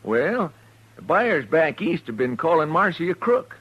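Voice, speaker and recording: An actor playing an earnest frontier depot worker with a rural American accent, folksy and plain-spoken, in an old radio western. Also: male voice, on the high side, very animated, unhurried, breathy edge, exaggerated voice. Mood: earnest, defensive. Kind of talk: 1950s radio western acting. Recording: muffled vintage radio recording.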